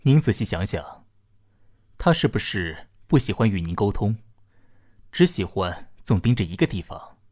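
A doctor in a sci-fi radio drama with a fairly low voice. He is calm and formal, choosing a measured, clinical tone that stays polite. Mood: clinical, patient. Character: calm, formal male doctor delivering a diagnosis. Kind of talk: science-fiction radio drama acting.

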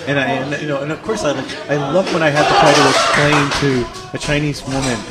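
Guy with a slightly high voice, animated, acting out everyone's lines on a chat show. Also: brisk, very noisy. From lives abroad as a foreigner. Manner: animated storyteller who acts out other people's lines. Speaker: co-host of a talk show. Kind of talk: casual chat.

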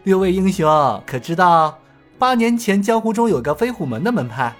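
A man voicing a playful, cocky young male swordsman who mocks his foes with drawn-out taunts in a martial-arts audio story. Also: sits high, sing-song, soft background music, pitched above the performer's own voice. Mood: mocking. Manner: mocking, laughing, drawn-out taunts.

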